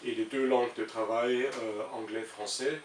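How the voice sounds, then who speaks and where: A middle-aged man with a German accent explains his views in an interview, pausing and repeating himself as he looks for words. His pitch is average for a man. He sounds set back from the microphone in a roomy space, with a faint hiss. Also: fairly even intonation, far from the mic. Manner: hesitant, searching for words with frequent repetitions. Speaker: international civil servant being interviewed. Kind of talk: interview answers, explaining an opinion.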